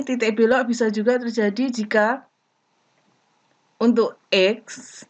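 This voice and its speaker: Woman teaching a math lesson, slow careful short phrases, kinda high voice, muffled lo-fi audio. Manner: deliberate, breaks sentences into short phrases. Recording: dull lo-fi recording.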